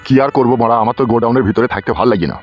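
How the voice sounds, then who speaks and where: A man's voice: medium pitch, rapid-fire, natural voice. A man voicing a put-upon village uncle in a comedy cartoon, complaining and resigned in a rustic way, with lo-fi sound. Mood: aggrieved. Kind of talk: amateur comedy cartoon dialogue. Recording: dull lo-fi sound with music.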